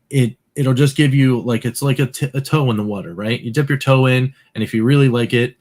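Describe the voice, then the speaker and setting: A man with a lowish voice co-hosting a casual podcast from a home desk mic. He explains at an easy, relaxed pace, filling gaps with "um" and "like". Nothing is rushed or performed. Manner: relaxed, explaining with many fillers. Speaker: podcast co-host. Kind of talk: casual chat.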